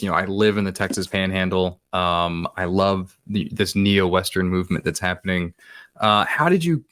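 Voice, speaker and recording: Male podcast host, deep voice, relaxed conversational interviewer. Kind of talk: casual interview talk.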